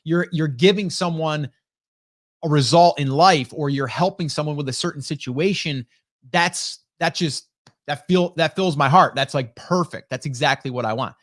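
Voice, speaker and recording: Higher-pitched man chatting casually on livestream. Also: normal speed, a bit bright, dry recording, studio quality. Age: late 40s. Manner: conversational, greets viewers by name, rhetorical check-ins. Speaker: host, online marketing coach. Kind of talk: casual live stream chat with viewers. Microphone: close broadcast dynamic microphone.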